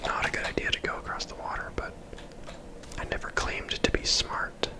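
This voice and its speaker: Casting: ASMR game streamer, fully whispered, casual and chatty play-by-play. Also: normal speed.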